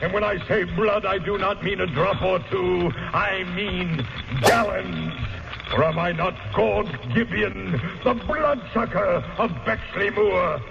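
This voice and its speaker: An actor playing a bloodthirsty castle ghost in grandiose, declamatory old-time radio horror style. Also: male voice, sits high, creaky, exaggerated voice. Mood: menacing, ravenous. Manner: declamatory, grandiose, drawn-out wailing. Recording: muffled noisy old radio, long hall reverb.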